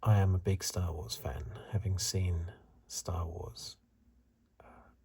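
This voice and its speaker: Very hushed, breathy low man. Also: level tone. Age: 50s. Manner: hesitant, halting, unscripted musing. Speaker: host of an ASMR video channel. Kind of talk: soft-spoken whispery ASMR commentary.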